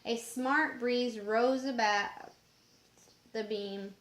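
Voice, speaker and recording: A woman reading a story aloud in a measured way, sometimes stumbling over harder words, in an echoing room. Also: on the high side, fairly even intonation. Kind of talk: storybook narration. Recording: roomy echoing home recording.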